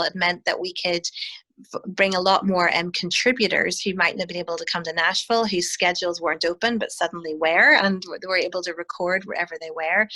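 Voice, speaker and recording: A woman's voice: fairly low pitch, sharp tone, rough recording. A Northern Irish woman in her thirties, a hymn writer talking through an interview over a video call, thoughtful with many restarts. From Northern Ireland.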